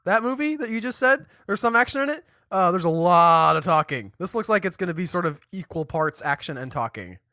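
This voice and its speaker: Lively, bright-voiced man podcast host. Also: sits high, brisk, few pauses, dry recording, studio quality. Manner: chatty, animated, restarts and stumbles mid-sentence. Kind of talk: casual chat.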